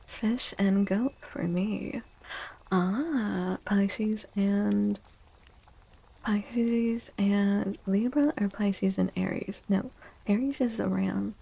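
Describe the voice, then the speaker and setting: A woman speaking softly and gently in a breathy near-whisper, chatting casually as an ASMR streamer giving readings. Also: medium pitch, extremely slow. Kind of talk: soft-spoken ASMR, casual chat.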